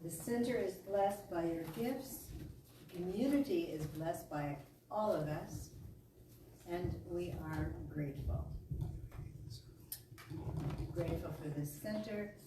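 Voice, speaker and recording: A woman leading a service, speaking a measured, reverent blessing to a congregation. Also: lowish voice. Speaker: service leader at a spiritual center. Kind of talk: offering blessing. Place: large echoey meeting room, heard from a distance. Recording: echoey hall, distant mic, noisy.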